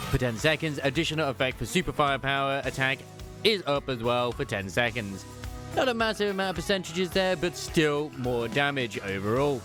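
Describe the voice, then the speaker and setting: A man hosting a gaming video with casual, chatty commentary on a character's skills. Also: mid-range voice. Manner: chatty, self-correcting asides. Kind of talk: casual game kit commentary, reading skill text aloud.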